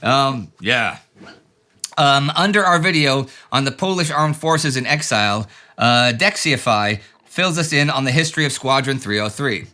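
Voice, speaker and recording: A man's voice: medium pitch, lively intonation, normal speed, pushed and bright. A man hosting a show, reading out viewer letters and comments with dry, joking asides. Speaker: show host.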